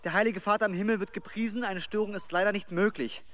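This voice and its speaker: An actor in a German radio drama playing a young monk, anxious and fretful. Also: male voice, high-pitched, fairly even intonation, fast, a bit bright, slight background noise. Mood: nervous, polite. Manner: deferential to outsiders, fretful.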